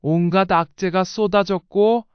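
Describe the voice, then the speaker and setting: Woman narrating a life story audiobook, clear smooth voice, close dry slightly dull recording. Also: medium pitch, steady tone, unhurried, no room sound.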